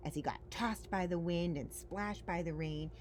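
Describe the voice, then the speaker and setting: A woman's voice: lowish voice, moderate pace, darkish tone. A woman reading a children's picture book aloud as a story-time reader, expressively and with dramatic emphasis. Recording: faint background noise.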